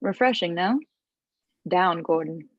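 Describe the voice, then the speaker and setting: A woman's voice: fairly low pitch, slow, no echo, lo-fi sound. A woman playing an arrogant aristocratic mother in her sixties, speaking with polished menace in a comedy table read. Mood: polite menace. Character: arrogant aristocratic sixty-ish mother, steely, conniving, falsely polite.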